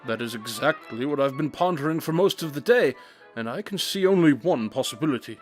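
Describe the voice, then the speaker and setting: An actor playing a brilliant, brooding detective in an audio drama, speaking in a deliberate, analytical and slightly formal way. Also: male voice, a little high, expressive, soft background music, natural voice. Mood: analytical, intense. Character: brooding, brilliant detective, intense and self-absorbed.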